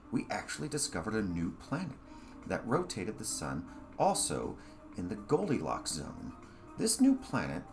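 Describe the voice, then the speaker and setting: A man with a very low voice narrates his own short story. His pitch moves in an animated way, and he breaks phrases with dramatic pauses. Faint music plays behind him. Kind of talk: audiobook story narration in first person.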